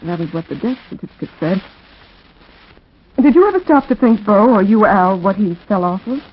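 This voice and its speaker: An actress playing a wistful widowed actress who talks frankly and earnestly with old friends, in 1940s radio drama style. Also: female voice, mid-range voice, animated, normal speed, breathy edge. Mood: earnest, pained. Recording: muffled 1940s radio recording.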